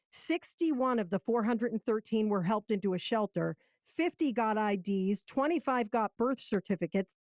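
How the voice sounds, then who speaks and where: An American woman reports for television news in a fairly high-pitched voice. She reads scripted narration in the measured form of a news package. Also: a little mellow, no echo.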